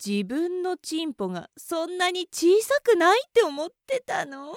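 A woman's voice: extremely high pitch, big pitch swings, unhurried, dry recording, clean studio sound, full character voice. A woman acting a contemptuous, domineering young woman in an adult audio roleplay, mocking and bossy. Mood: scornful.

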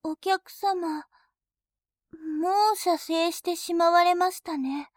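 A woman playing a dominating female bank clerk, high voice, unhurried, formal and deliberate, dry close sound. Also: steady tone, no echo. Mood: polite teasing. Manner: very formal polite speech, slow and deliberate. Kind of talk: adult audio drama acting.

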